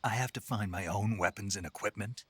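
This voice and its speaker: A man voicing a laconic special forces soldier with a husky voice and a dry, sardonic wit in a game. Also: lowish voice, fairly quick, a bit bright. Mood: wry. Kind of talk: game dialogue.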